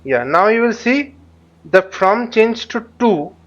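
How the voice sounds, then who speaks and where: A man giving live tutorial commentary as he flies a simulator, speaking haltingly and often repeating or rephrasing himself. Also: high voice, lively intonation, dull audio. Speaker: flight simulator instructor and channel host.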